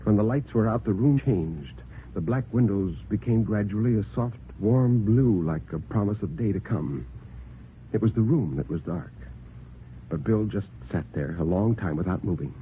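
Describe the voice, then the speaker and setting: A man narrating a story as a 1950s radio storyteller, with theatrical, suspenseful, deliberate phrasing. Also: fairly low pitch, expressive, a little hiss or hum. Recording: tinny band-limited vintage radio recording.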